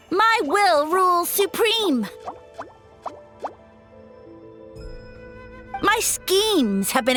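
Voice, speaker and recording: Haughty evil mushroom god, high bright voice, grandiose game villain, some music under. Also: female voice, exaggerated voice. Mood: smug. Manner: pompous, grandiose, theatrical. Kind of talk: video game voice acting. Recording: music behind the voice.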